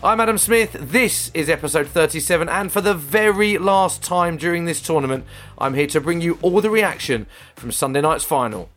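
An English man hosting a football podcast, his voice slightly croaky, with a dramatic, rhyming recap delivery. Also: on the high side, animated, moderate pace, fairly bright tone, soft background music. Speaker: podcast host. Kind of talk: scripted podcast presenting.

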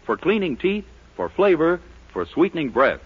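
Animated American 1940s radio announcer. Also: male voice, on the high side, moderate pace, breathy edge. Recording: dull vintage 1940s radio broadcast.

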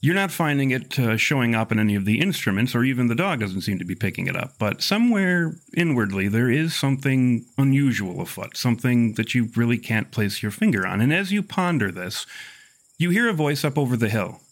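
A man improvising narration as game master of a tabletop horror game, with measured, wordy, formal phrasing. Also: medium pitch, big pitch swings.

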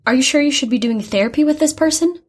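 A woman's voice: high-pitched, fast, natural voice. A young woman playing an earnest, chatty art student in an audio drama, rambling and hesitant as she explains herself. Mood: hesitant, concerned. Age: young adult. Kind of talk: naturalistic audio drama acting.

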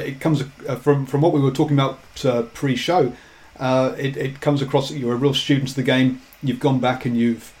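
A man hosting an interview, asking thoughtful questions with halting restarts. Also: medium pitch, darkish tone, low-quality recording. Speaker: podcast host. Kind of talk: conversational interview.

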